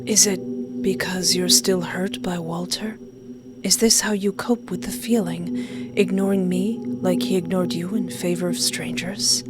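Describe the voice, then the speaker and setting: A woman voicing a yearning, teasing young male plant creature in a visual novel, softly and at times whispering. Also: on the low side, fairly even intonation, measured pace, natural voice. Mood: hurt, pleading. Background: game music.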